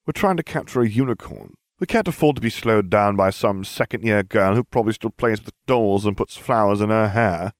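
A man's voice: lowish voice, fairly quick, few pauses, no room sound, studio quality, close to an everyday voice. A man voicing a smug, theatrical, scheming schoolchild in an audiobook, glib and mock-grave.

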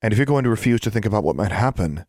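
A man voicing a shy, caring schoolgirl witch in a story, soft and earnest. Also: fairly low pitch, expressive, rapid-fire, no room sound, clean studio sound, voice pushed up. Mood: worried.

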